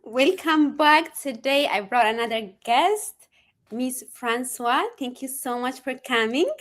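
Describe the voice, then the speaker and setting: A woman's voice: high-pitched, unhurried, a bit bright. Eager woman hosting an interview. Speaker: host. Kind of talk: conversational interview.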